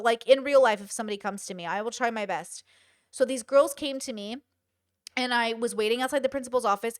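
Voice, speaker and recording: A young woman hosting a commentary show, talking straight to her viewers in a casual, animated way with some swearing. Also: on the high side, no room sound, clean studio sound.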